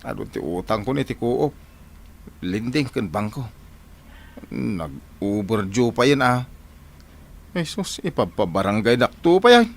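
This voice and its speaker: A man's voice: medium pitch, sing-song, unhurried, creaky, pauses often, exaggerated voice. A man with an Ilocano Filipino accent playing a lazy, whining, easily startled husband and father in a radio drama, exclaiming, stammering and wheedling. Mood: worried.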